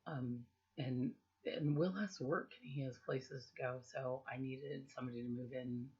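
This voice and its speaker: A woman with a hoarse, husky voice after losing it, giving a candid, rambling personal update at home and laughing at herself now and then. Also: very deep voice, steady tone, normal speed, darkish tone. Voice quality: husky, strained. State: hoarse, recovering from lost voice. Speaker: vlogger giving a health update. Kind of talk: casual personal update to camera. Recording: dull lo-fi home recording.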